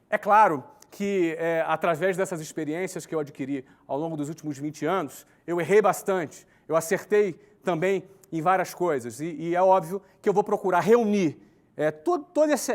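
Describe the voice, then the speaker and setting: A man in his forties giving a conversational talk, often checking in with tag questions and listing points in an emphatic rhythm. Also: high-pitched. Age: 40s. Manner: emphatic, lists steps in a lively rhythm. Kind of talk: recorded talk to an online audience.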